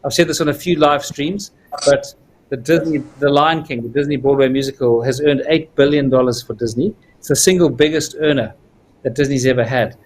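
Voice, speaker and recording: A man's voice: medium pitch, a little fast, a little hiss or hum, low-quality recording. A man with a South African accent, a film producer answering viewer questions at length on a livestream, with frequent fillers.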